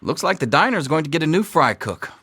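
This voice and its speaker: A man's voice: fairly high pitch, big pitch swings, brisk, pushed and bright, slightly rough, heavily acted. A man playing a wry, jaded middle-aged schoolteacher in a video game, with a sardonic, theatrical drawl. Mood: joking, confiding. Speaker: teacher. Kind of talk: video game dialogue acting.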